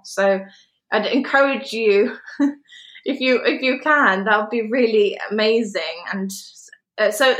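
A woman hosts an interview podcast in a mid-pitched voice. She sounds enthusiastic and encouraging and leans heavily on fillers. Her voice carries in a roomy, echoey space. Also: a bit bright. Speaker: podcast host. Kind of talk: interview conversation.

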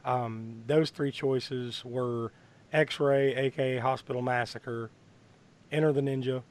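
A man hosting a horror movie podcast, hoarse from bronchitis, talking in a rambling way with many fillers and restarts. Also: mid-range voice, steady tone, slow, a little mellow. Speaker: podcast host. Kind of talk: casual podcast chat.

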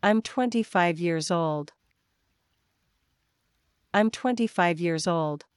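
Woman teacher, smooth low voice, very slow practice reading, dry close sound. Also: dry recording. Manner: slow, deliberate, each sentence said twice. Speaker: English teacher. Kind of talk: reading example sentences for learners.

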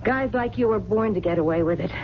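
An actress playing a soft-hearted, wisecracking working-class woman who runs a late-night diner in a radio drama, with blunt colloquial talk. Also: medium pitch, fast talker, lightly acted. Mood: breezy, advising. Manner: streetwise, colloquial, ungrammatical. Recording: dull, noisy vintage radio broadcast.

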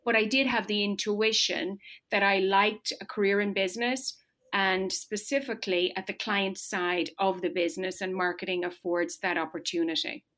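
A middle-aged woman from Ireland, a marketing executive answering interview questions in a measured, articulate way. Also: mid-range voice, fairly even intonation, a bit bright. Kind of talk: podcast interview.

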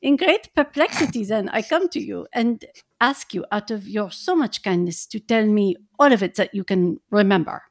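A woman's voice: mid-range voice, lively intonation, moderate pace. A woman voicing an earnest, effusive old Dutch professor who speaks in broken, formal English. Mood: courtly. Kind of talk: reading a novel aloud in roles.

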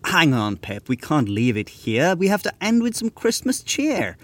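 A man's voice: fairly high pitch, very animated, moderate pace, a bit bright. A man narrating a scripted comic tale in rhyme in a dramatic storytelling manner. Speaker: co-narrator and writer.

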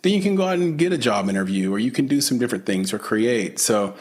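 A man hosting an interview, talking in a halting way with many fillers and restarts, laughing easily. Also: mid-range voice, lively intonation. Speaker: podcast host, interviewer. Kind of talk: casual interview.

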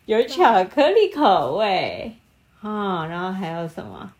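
A woman improvising a bedtime story with a child, animated and prompting with questions. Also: medium pitch, takes it slowly. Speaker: storyteller, podcast host.

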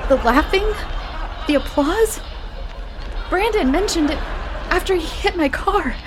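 A woman's voice: on the high side, lively intonation, a little slow, over music, crowd noise, voice pushed up, poor audio. A woman voicing a frantic, panicked husband in a spoken horror story. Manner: urgent, pleading. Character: frantic husband, middle-aged man, panicked.

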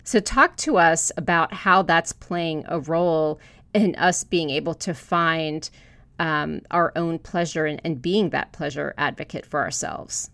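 A woman's voice: low-pitched, measured pace, vocal fry, a bit bright, no echo. A woman podcast host from Florida, earnestly sharing a personal story before posing a question to her guest. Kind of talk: podcast interview.

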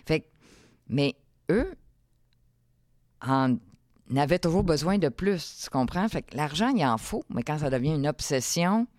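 A woman's voice: deep voice, animated, unhurried, pauses often, darkish tone, no room sound, studio quality. A middle-aged woman with a Quebec French accent, talking casually as an interview guest, thinking aloud in broken phrases. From Quebec, Canada. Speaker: podcast guest, entrepreneur.

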